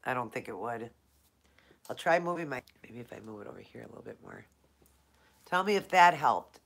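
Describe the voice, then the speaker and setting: Chatty low-voiced woman with a stuffed nose. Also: animated, brisk, vocal fry. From Minnesota, USA. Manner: chatty, greets viewers by name. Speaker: live-stream host. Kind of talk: casual live Q&A chat.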